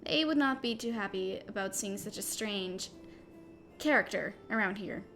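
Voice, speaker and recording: A woman voicing an earnest, emphatic young female pony in an animated fan dramatic reading. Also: medium pitch, moderate pace, faint music behind. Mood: kind, reassuring. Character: young female pony, firm and commanding, turning kind.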